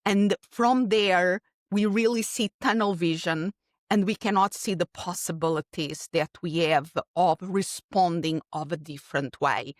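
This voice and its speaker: A woman's voice: lowish voice, measured pace, dry recording, studio quality. Portuguese-accented woman, thoughtful and earnest. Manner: earnest, reflective, speaks in long explanatory runs with restarts. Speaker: keynote speaker guest. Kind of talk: podcast interview answer.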